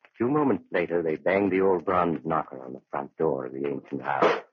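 Very deep, sinister storyteller. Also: male voice, very noisy. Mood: suavely ominous. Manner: measured, theatrical, suavely menacing. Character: sinister, courteous doctor telling eerie tales. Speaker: storyteller host of a horror radio series. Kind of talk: 1940s radio horror narration. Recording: dull old-time radio recording.

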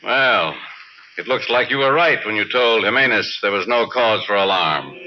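An actor playing a concerned agency chief in a 1950s radio drama. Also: male voice, on the low side, lively intonation, moderate pace, natural voice. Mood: relieved. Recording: muffled, noisy old radio recording.

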